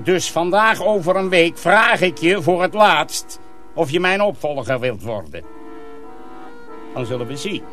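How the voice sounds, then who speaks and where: An actor playing a domineering, menacing master sorcerer in a radio drama, commanding and threatening. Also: male voice, a little high, a bit bright.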